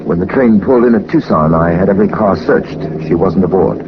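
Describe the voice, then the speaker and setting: Noisy 1940s radio show, a calm polite detective guy, lowish voice, proper measured talk. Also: dull audio. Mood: calm, explaining. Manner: formal, courteous, measured phrasing. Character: composed, methodical gentleman sleuth, a former captain. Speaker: lead actor of a detective radio serial. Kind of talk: 1940s radio drama acting.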